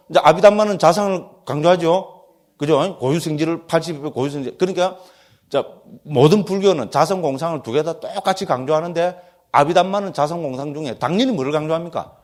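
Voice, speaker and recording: A man speaking with a Gyeongsang accent, a Buddhist monk lecturing on doctrine. His voice sits a little high for a man. He keeps checking that his listeners agree, with a lively, teasing tone. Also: brisk. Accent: Gyeongsang Korean. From Gyeongsang region, Korea.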